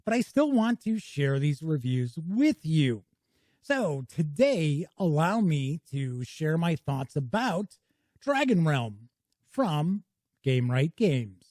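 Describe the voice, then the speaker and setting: A man hosts a casual board game review with a folksy, welcoming manner. His pitch swings widely and expressively. His voice sits a little high but has a mellow, dark tone, and it is recorded dry in a small home studio. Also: sing-song, takes it slowly, no room sound.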